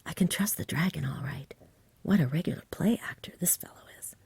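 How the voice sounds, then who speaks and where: A woman voicing an eager, knowing young boy from a storybook in a breathy whisper. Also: sits low, expressive, fairly quick, a little mellow, dry recording, exaggerated voice. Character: excitable, shrewd young boy watching a staged fight. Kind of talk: whispered storybook character reading.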